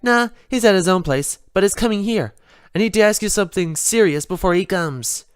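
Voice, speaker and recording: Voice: mid-range voice, animated, a little fast, clear, resonant tone, no echo, clean studio sound, pitched above the performer's own voice, close to an everyday voice. Narrator doing bossy girl pony in a storybook, slightly raised voice, blunt and fed up. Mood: serious. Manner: blunt, impatient. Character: bossy, exasperated young female pony, blunt friend.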